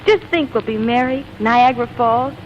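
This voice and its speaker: An actress playing an eager, affectionate young fiancée in 1940s romantic comedy style. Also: female voice, on the high side, moderate pace, a little hiss or hum, natural voice. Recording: dull old recording, loud music behind.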